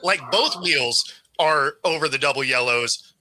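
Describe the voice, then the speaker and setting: A man's voice: a little high, unhurried, sharp tone, a little hiss or hum. A man hosting a sports talk show in casual panel chat over a video call, easygoing and joking, laughing often. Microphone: video call microphone.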